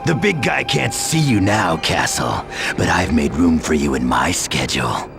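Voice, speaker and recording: A smug game villain, an assassin who taunts with relish. The voice is low and gravelly, swooping theatrically with menace over clear background music. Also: male voice, very animated, measured pace, full character voice. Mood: smug taunting. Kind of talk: video game cutscene acting.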